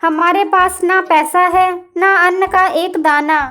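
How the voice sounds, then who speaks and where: A woman acts out an anxious mother bird from a children's cartoon. The voice sits very high and moves slowly, with fretful concern. Light music plays underneath. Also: steady tone, pushed and bright, heavily acted. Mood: worried, helpless. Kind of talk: children's cartoon story acting.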